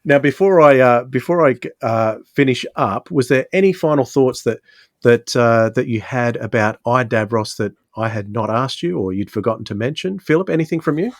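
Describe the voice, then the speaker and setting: A man's voice: mid-range voice, normal speed, dry recording, clean studio sound. A man hosting a podcast, chatting casually as he reviews audio dramas. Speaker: podcast host.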